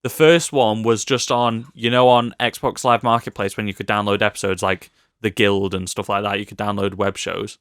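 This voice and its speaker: Casual male co-host, fairly deep pitch, joking conversational delivery, dry sound. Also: little pitch movement, normal speed, few pauses, fairly bright tone, smooth, no echo. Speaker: podcast co-host. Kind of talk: casual film and TV chat.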